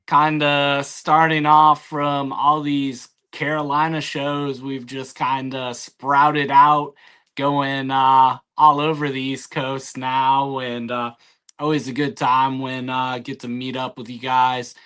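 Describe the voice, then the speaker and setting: A man answering as a guest in casual chat, hesitant and pausing often. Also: medium pitch, level tone, bright, forceful tone, clear, resonant tone. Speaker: interview guest.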